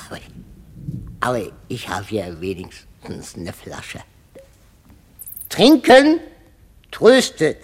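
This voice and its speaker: An actor playing a boozy, bawdy, self-pitying royal butler in a radio play, drawling drunkenly and given to crude singing. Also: male voice, fairly low pitch, huge pitch swings, extremely slow, pauses often, breathy edge, heavily acted. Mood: maudlin, tipsy. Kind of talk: German radio play acting, comic drunkard. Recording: long echoing hall reverb.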